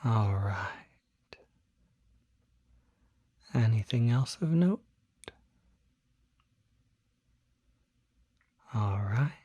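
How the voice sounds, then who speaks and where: Performer as demon doctor, ASMR roleplay, extremely slow, soft and often whispered, husky, attentive, gentle bedside manner. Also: medium pitch, lively intonation, no echo, highs cut off, full character voice. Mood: patient, probing. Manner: slow, deliberate, gentle bedside questioning with pauses. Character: calm, attentive demon doctor treating a patient.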